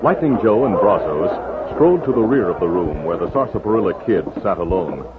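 A man's voice: low voice, lively intonation, a little fast, very noisy. An American man reading dramatic scripted narration for a 1950s radio western. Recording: muffled 1950s radio, music behind.

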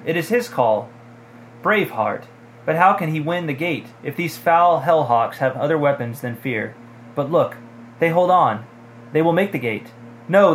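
A man voicing a frightened, excitable young hobbit from a storybook, with urgent pleading and exclamations. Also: fairly high pitch, slight background noise, pitched above the performer's own voice, natural voice, rough recording.

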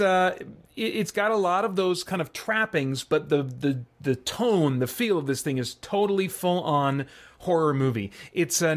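Male podcast host, middle-aged, a bit higher-pitched, informal conversational reviewer working through his thoughts. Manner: conversational, thinking aloud. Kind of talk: casual, semi-improvised movie review.

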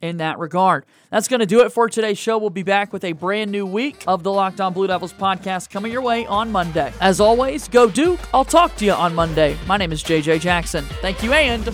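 A man's voice: sits high, fairly quick, few pauses, soft background music. A man from North Carolina with an American accent, hosting a sports interview podcast. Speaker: podcast host.

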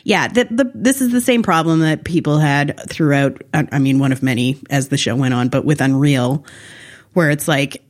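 Woman, low register, casual TV discussion, wry, blunt, critical. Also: normal speed. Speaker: podcast co-host.

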